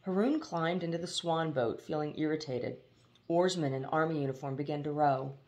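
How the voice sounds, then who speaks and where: Low-pitched woman reading a story. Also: moderate pace, a little mellow. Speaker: audiobook reader. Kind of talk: expressive storybook narration.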